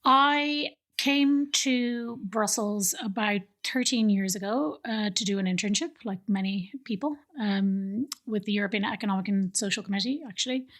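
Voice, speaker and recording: A woman's voice: mid-range voice, moderate pace, hardly any pauses, fairly bright tone. Irish woman explaining with many fillers. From Ireland. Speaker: guest, think tank director. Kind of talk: conversational interview. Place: living room studio with plants and books. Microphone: studio mic on stand.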